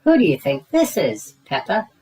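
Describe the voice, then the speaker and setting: A woman voicing a gentle, patient mother pig who speaks kindly. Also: medium pitch, huge pitch swings, moderate pace, voice pushed up. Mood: fond.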